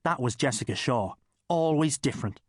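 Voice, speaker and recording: Male Irish narrator for humorous audiobook: animated delivery, medium pitch, dry studio recording. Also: normal speed, no room sound. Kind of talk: scripted comic story narration.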